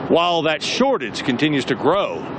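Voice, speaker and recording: Man, American, live TV reporter, fairly high voice, noticeable street noise behind. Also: sing-song, normal speed. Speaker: TV news reporter. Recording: clear outdoor urban noise.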